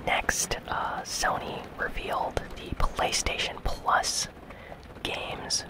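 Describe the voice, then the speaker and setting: This is an ASMR gaming news host who speaks entirely in a soft whisper. The delivery is breathy and casual, moving along in an unhurried, conversational way with very little voiced tone. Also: low-quality recording.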